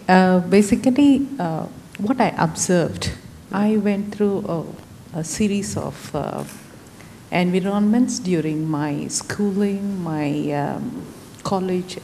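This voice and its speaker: A woman from India, speaking as a panelist in a reflective, halting way. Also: lowish voice, vocal fry, warm tone, big-room sound, a little hiss or hum, low-quality recording. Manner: slow, reflective, halting with fillers. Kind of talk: panel discussion. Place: event hall.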